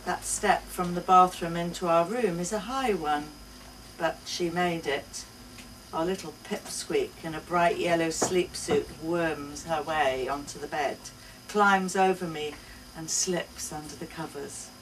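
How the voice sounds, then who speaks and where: A woman giving an expressive first-person reading of a short story at a live event. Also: on the low side, a little slow. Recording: distant mic, faint room noise.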